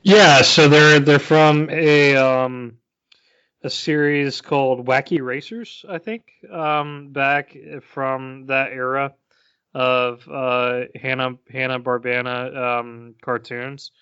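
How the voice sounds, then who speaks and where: A man co-hosting a podcast in casual chat, relaxed and thoughtful, pausing often with fillers. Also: mid-range voice, clear, resonant tone, no room sound. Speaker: podcast co-host. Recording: dry, dull lo-fi podcast audio.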